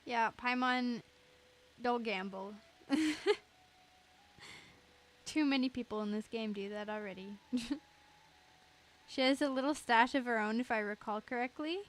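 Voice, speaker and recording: A woman's voice: a little high, unhurried, long pauses. A woman giving casual, giggly, reactive commentary as she plays a game. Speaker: game streamer.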